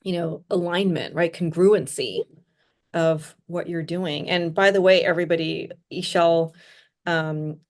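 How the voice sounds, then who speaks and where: A woman with a somewhat low voice hosts a warm-paced podcast conversation. She talks reflectively, leaning on "you know" and "right?" as she thinks aloud. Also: fairly even intonation, measured pace. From Hong Kong. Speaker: podcast host. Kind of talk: conversational interview.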